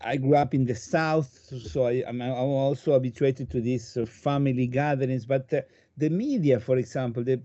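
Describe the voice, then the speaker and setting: Hesitant man with a mellow voice, dry sound. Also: mid-range voice, normal speed, dry recording. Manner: hesitant, thoughtful, self-doubting. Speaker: podcast co-host. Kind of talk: interview questions, casual. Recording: dry, dull lo-fi audio.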